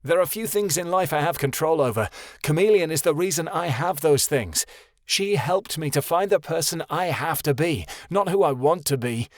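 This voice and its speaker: A man voicing a grieving teenage son who shouts bitterly at his father. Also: a little high, lively intonation, moderate pace, no echo, clean studio sound, voice pushed up, lightly acted. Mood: furious.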